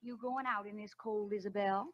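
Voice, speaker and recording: A woman's voice: medium pitch, steady tone, normal speed, no echo, close to an everyday voice. An actress playing a kindly, fussing older woman in a vintage radio drama, with homely, solicitous delivery. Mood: fond, fussing. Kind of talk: 1940s-50s radio drama acting. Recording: dull, dry vintage radio recording.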